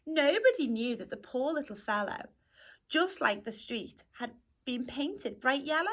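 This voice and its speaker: A woman teacher reading a rhyming picture book aloud to young children in an expressive storytelling manner. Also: high-pitched. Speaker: primary school teacher.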